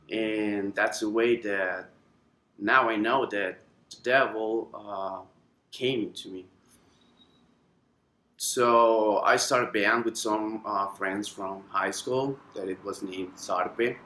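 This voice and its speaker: Costa Rican man, 20s, halting testimony, unhurried, roomy sound with soft music under it. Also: on the low side. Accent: Costa Rican Spanish. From Costa Rica. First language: Spanish. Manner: halting, many fillers, slips into Spanish. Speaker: testimony speaker. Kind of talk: personal testimony.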